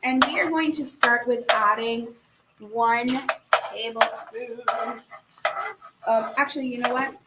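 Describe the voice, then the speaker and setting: Woman cooking host, higher voice, chatty and upbeat, kitchen noise behind her. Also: steady tone, slow. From Puerto Rican family. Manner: chatty, filler-heavy, upbeat. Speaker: cooking instructor. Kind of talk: live-streamed cooking demo.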